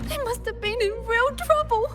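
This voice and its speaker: A woman's voice: very high-pitched, normal speed, over music. An actress playing a timid, anxious young girl in a video game, hesitant and earnest. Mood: worried. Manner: hesitant, faltering.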